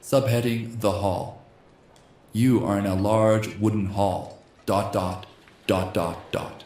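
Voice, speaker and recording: Low-voiced, slightly hoarse man. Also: steady tone, slow, a little mellow, reverberant. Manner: reads game text aloud, speaking the punctuation. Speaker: host. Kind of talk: ASMR reading of a text adventure game.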